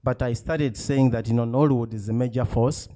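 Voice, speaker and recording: A man's voice: mid-range voice, fairly even intonation, brisk, mellow. A man from Uganda, an author talking casually to a live audience, chatty and self-deprecating with many fillers and frequent laughs. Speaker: author speaking at a book event.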